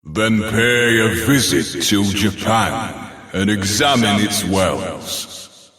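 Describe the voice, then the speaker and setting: A man's voice: lowish voice, expressive, slow pace, a bit bright. A man playing an ancient, imperious dragon lord in a fantasy video game, with a grandiose, theatrical delivery and amusement at mortals. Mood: knowing, magnanimous. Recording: echoing, long hall-like reverb.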